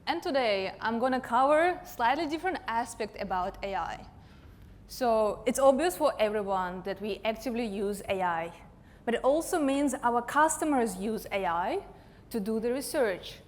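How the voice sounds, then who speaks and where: A woman's voice: a little high, animated, moderate pace. A woman in her thirties from Russia, speaking English with a Russian accent, gives a chatty, self-deprecating conference talk with light laughs. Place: large conference hall. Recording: long hall reverb.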